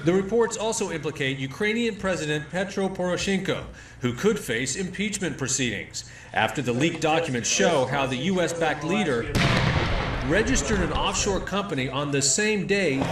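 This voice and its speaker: A man's voice: a little high, reverberant, very noisy. An American man narrating a scripted news package as a network reporter.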